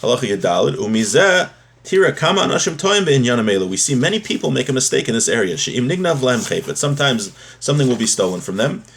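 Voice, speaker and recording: A man's voice: medium pitch, very animated, quick pace, creaky, fairly bright tone. A man giving a religious lesson, reading from a text and explaining it, repeating and rephrasing his points as he goes. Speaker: religious teacher giving a daily class.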